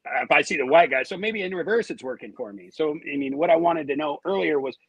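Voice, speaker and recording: An American man chatting casually as a panelist, rambling and folksy, with a rough-edged voice. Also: fairly high pitch, a little fast, no room sound, poor audio. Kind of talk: casual chat.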